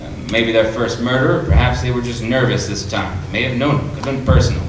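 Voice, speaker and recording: A man playing a self-assured, wryly conceited 1940s New York private detective, narrating in old radio drama style with deliberate, hard-boiled storytelling. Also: medium pitch, lightly acted. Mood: brooding, self-satisfied. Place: improv theatre stage before an audience. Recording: echoey theatre stage, distant mic, noisy.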